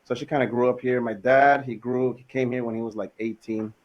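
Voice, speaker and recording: Laid-back LA man in his thirties, podcast guest, chatty and loose, mid-pitched. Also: brisk, dull audio. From Los Angeles. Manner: relaxed, laid-back, frequent fillers. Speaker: podcast guest, business owner. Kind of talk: casual conversational storytelling.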